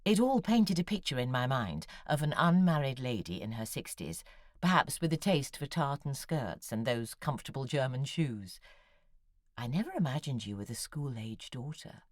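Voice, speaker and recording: An actress playing Monica, a forty-six-year-old novelist who talks in a wry, confiding way and sometimes sinks to a near whisper. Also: female voice, a bass voice, animated, normal speed, no echo, clean studio sound. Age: 40s. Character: Monica, a 46-year-old woman novelist, thrice married, wry and self-doubting. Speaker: writer. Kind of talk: audio drama acting, epistolary.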